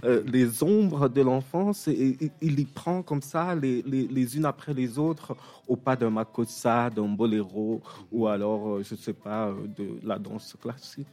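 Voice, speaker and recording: A man in his late thirties from Cameroon, a novelist answering interview questions in a relaxed, hesitant way with many fillers and restarts. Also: medium pitch, unhurried. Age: late 30s. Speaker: author guest.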